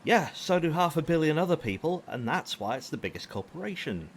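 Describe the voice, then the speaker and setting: A man's voice: a little high, very animated, brisk, a little hiss or hum. A man playing a cocky, callous hitman for hire in an audio drama, with a sarcastic, taunting manner. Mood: smug.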